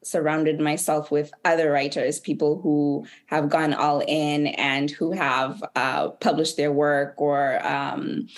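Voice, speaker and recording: Woman from Zimbabwe, low pitch, reflective podcast guest. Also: little pitch movement. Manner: reflective, measured, with soft laughs. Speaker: author guest. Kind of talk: podcast interview.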